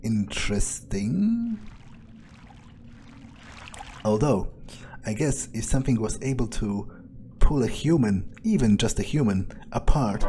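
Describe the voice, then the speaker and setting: Game vampire, played by a man: unhurried and soft-toned, dry, pragmatic, muses through hesitant pauses. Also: fairly low pitch. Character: detached, pragmatic, curious male vampire. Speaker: player roleplaying a character. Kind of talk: in-character game playthrough narration.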